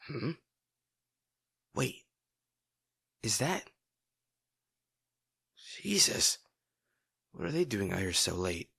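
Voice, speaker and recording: A young man performs an abrasive teenage bully who cares more than he admits. His husky voice swings widely in pitch. He talks gruffly and straight at the listener, with curses and sighs, in the style of an intimate audio drama. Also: big pitch swings, pushed and bright, heavily acted. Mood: muttering, irritated. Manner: gruff, swearing, sighing, halting when upset. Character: brash teenage bully boy, abrasive but secretly caring.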